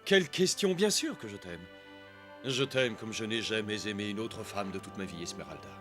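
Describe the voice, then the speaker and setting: Cartoon suitor played by a man: smooth-talking gallant captain, flowery delivery, very animated pitch, clear music behind. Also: normal speed, natural voice. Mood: ardent. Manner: flowery, declamatory. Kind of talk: animated film dub. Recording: loud background music.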